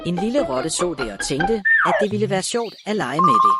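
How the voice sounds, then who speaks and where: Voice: medium pitch, sing-song. A storyteller reading a scripted children's tale as narrator. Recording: loud music behind the voice. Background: music.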